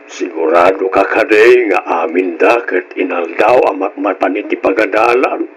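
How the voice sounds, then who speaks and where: A man voicing an elderly, suspicious grandfather with a husky voice and an Ilocano accent in a radio drama. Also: deep voice, moderate pace, low-quality recording. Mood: concerned. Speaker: drama voice actor.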